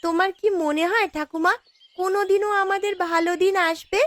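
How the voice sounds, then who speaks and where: A woman's voice: very high voice, fairly even intonation, brisk, no room sound, pitched above the performer's own voice. A woman voicing a poor, sweet young granddaughter in a fairy tale cartoon, with a girlish, plaintive manner. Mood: worried, caring.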